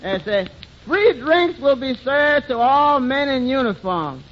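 An actor playing a wry, doubtful, reluctant tavern waiter, hesitant and drawn-out, in 1940s radio comedy style. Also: male voice, extremely high pitch, big pitch swings, full character voice. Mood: amused skepticism. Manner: hesitant, drawn-out, wryly skeptical delivery. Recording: muffled 1940s radio recording, faint noise.

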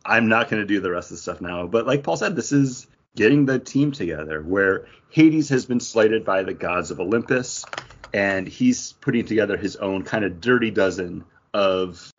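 A man's voice: on the low side, lively intonation, normal speed, husky. A man hosting a podcast, chatting casually about comic books. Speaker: podcast host. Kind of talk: casual comic book discussion. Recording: dull, muffled lo-fi audio.

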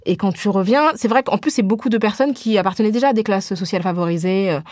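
A woman hosting a podcast, talking in an animated, conversational way. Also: medium pitch, fast talker, no echo, clean studio sound. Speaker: podcast host.

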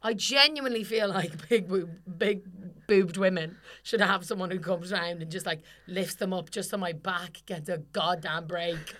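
A young woman, co-hosting a casual comedy podcast and ranting animatedly with lots of swearing, her voice slightly husky. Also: lowish voice, normal speed, few pauses, fairly bright tone. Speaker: podcast co-host.

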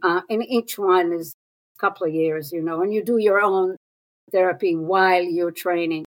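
A woman in her sixties from Israel, a psychotherapist speaking as an interview guest in an unhurried, thoughtful way with pauses and fillers. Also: fairly low pitch, fairly even intonation, poor audio. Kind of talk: reflective interview talk.

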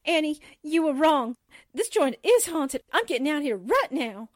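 Woman as a high-pitched, jumpy comic sidekick. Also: very animated. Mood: panicky. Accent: American. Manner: comically frightened, drawn-out exclamations. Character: jumpy, wisecracking 1940s newspaperwoman sidekick. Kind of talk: 1940s radio drama acting.

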